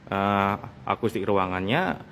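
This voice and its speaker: A man's voice: on the low side, slow pace, a bit bright. Man explaining casually over background noise. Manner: repeats phrases for emphasis, conversational. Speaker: sound technician and video host. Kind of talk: casual explanatory vlog talk.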